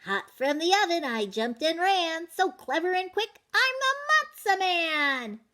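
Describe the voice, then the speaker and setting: A woman's voice: very high-pitched, sing-song, measured pace, sharp tone, voice pushed up, exaggerated voice. A woman voicing a cocky, clever little matzah man in a children's story, chanting boastful rhymes in a teasing sing-song. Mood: gleeful, teasing.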